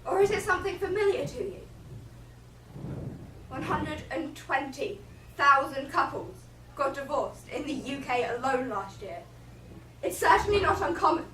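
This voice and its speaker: A woman's voice: high-pitched, sharp tone. A woman acting a solemn narrator who addresses a theatre audience in a scripted, rhetorical monologue. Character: solemn female narrator introducing a drama. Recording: echoey room, distant, faint noise.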